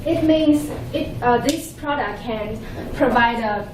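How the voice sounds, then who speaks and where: Earnest young woman with a Chinese accent. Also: fairly high pitch. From Shanghai, China. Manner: earnest, halting with restarts. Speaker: conference presenter. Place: conference room. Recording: echoey room from far off with hum.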